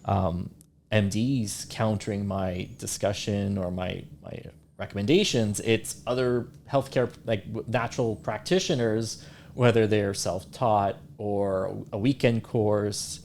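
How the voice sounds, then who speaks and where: Low-voiced man, halting and casual. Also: darkish tone, a little hiss or hum. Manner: halting, self-correcting, thinking aloud. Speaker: podcast host, naturopathic doctor. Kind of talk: casual podcast conversation.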